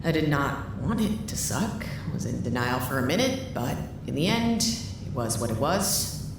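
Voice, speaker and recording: A woman's voice: very deep voice, very animated, normal speed, heavily acted. A woman playing a blunt, weary leader of a rebel research staff in an audio drama, speaking frankly and dryly with some swearing. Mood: frank, bitter. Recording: echoey room, clear background noise.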